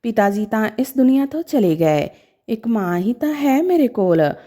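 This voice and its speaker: A devoted, determined son from a moral story, voiced by a woman narrator. He speaks earnestly and emotionally in a soft, fairly high voice that rises and falls widely. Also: sing-song, normal speed. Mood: earnest pleading. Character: devoted, determined son pleading for his sick mother.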